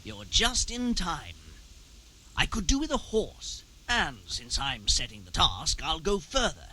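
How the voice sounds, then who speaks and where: A man's voice: on the high side, wildly swooping pitch, measured pace, sharp tone, exaggerated voice, poor audio. A man playing a sly, greedy townsman who commissions thefts in a video game, talking in a knowing, theatrical, wheedling way. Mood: pleased, scheming. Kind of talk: dramatic video game dialogue.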